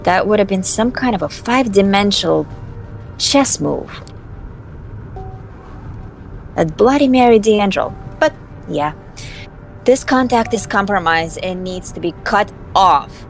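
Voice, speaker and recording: Woman acting a snarky vampire socialite, wildly animated pitch. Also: moderate pace, vocal fry, faint music behind. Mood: wry, dismissive. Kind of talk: amateur voice acting of a visual novel.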